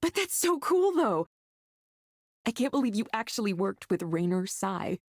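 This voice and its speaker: A woman's voice: medium pitch, sing-song, normal speed, dry recording, clean studio sound. An actress playing a friendly, eager and admiring woman in a visual novel, with an earnest, chummy manner. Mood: surprised, admiring.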